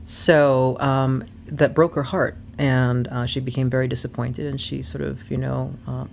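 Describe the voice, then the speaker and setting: A woman chats openly as an interview guest, her voice carrying a gravelly fry. She fills her thoughts with ums and laughs easily. Faint noise sits under the recording. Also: a bass voice, level tone, unhurried, darkish tone. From born in Vermont, raised abroad. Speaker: guest, personal development coach. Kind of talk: casual conversation.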